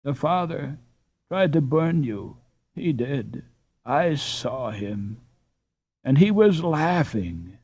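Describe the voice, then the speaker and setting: A man voicing a poor young girl who is anxious and tearful, speaking softly and slowly. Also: on the high side, sing-song, husky, exaggerated voice. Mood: distressed.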